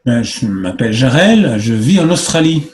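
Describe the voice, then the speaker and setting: Interview guest, man in his fifties from France, hesitant earnest delivery, mid-pitched voice. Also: sing-song, normal speed, a little mellow, a little hiss or hum. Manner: hesitant, many fillers, earnest explaining. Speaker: guest, movement spokesman. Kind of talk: conversational interview answers.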